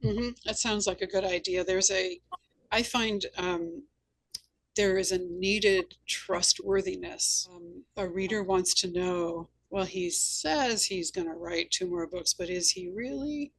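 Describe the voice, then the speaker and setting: A woman hosting a conversational interview among authors, speaking in a thoughtful, measured way. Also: on the low side, vocal fry, husky, low-quality recording. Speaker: host and author.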